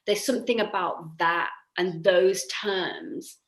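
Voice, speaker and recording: A woman's voice: on the low side, slow. A woman hosting a conversational discussion, speaking in a thoughtful, somewhat hesitant way. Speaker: host. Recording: echoey room.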